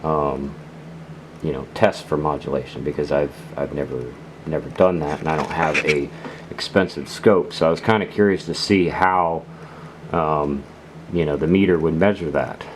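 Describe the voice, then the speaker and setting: An extremely low-pitched man hosts a casual product demonstration. He explains things in an unhurried, halting way, piece by piece. The recording carries clear background noise. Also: fairly even intonation. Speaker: host of a radio gear channel.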